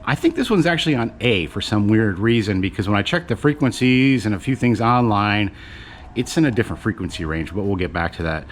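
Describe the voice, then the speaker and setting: A man with a fairly low voice hosts a casual demonstration video. He talks in a loose, conversational, explanatory way, wandering a little as he goes. There is faint noise behind him. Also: expressive, fairly quick. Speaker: video host and presenter. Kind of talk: casual unscripted product demonstration.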